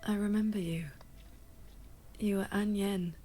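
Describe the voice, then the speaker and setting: An actress playing a calm, solemn female sword mentor in a game, speaking formally and with measured gravity. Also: mid-range voice, a little hiss or hum, close to an everyday voice. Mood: composed. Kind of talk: scripted game voice acting. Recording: music under the voice.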